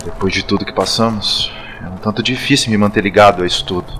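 A man's voice: fairly low pitch, fairly even intonation, quick pace, fairly bright tone, a little hiss or hum, natural voice. A man playing a tense young resistance fighter in an audio drama.

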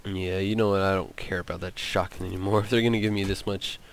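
A young man commenting casually on his gameplay, wry and talking to himself, his voice going hoarse. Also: sits low, flat delivery, fast, slight background noise. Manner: laid-back, wry, talks to himself while playing. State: losing his voice. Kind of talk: casual let's play commentary.

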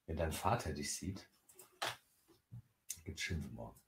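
Man co-host, 30s, teasing banter, very deep voice, echoey room with faint hiss. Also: animated, slow, creaky. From Lower Franconia. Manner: teasing, reads viewer comments aloud. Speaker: co-host reading live chat. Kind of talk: joking live-stream banter. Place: home craft room, indoors. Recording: roomy echo, faint noise.

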